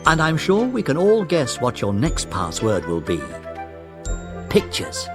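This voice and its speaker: A man's voice: mid-range voice, wildly swooping pitch, measured pace, music in the background. A man narrating a scripted children's adventure story in a dramatic, listener-friendly storytelling manner. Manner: dramatic, addresses the young listeners.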